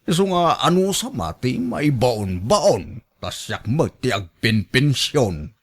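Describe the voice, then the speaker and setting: A bossy husband played by a man in an Ilocano radio drama, with an Ilocano accent and a mid-pitched voice. He nags and scolds, handing out household orders in a domineering way. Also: very animated, slow, breathy edge, heavily acted. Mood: scolding, dismissive. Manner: domineering, nagging. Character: bossy, scolding husband who orders his wife around.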